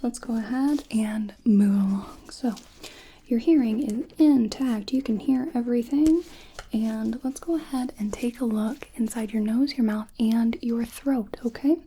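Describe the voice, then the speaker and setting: A woman whispering softly and playfully through an ASMR doctor's exam roleplay, drifting close to and away from the mic. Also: fairly high pitch, unhurried, slight background noise.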